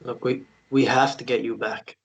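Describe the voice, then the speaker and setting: An actor playing a troubled man in a fantasy romance table read, halting and repeating himself. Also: mid-range voice, fairly even intonation, far from the mic, close to an everyday voice, poor audio. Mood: urgent. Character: troubled, uneasy man rescuing an injured woman.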